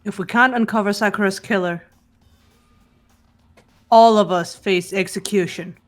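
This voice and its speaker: A woman voicing a calm, serious, level-headed young investigator in a fan-voiced visual novel game. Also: mid-range voice, a little slow, soft background music, natural voice.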